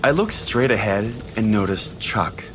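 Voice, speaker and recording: Male podcast narrator, lowish voice, wry dramatic first-person comic storytelling over soft music. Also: animated, measured pace.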